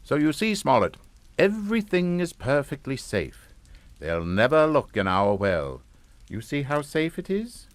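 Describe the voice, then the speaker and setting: A middle-aged father rules a suspense radio drama with cold authority. He is clipped and matter-of-fact even when threatening, with a mid-pitched voice that rises and falls widely, recorded very dry. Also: a little slow, dry recording. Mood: blandly reassuring. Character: coldly commanding English father, calm, menacing, middle-aged patriarch.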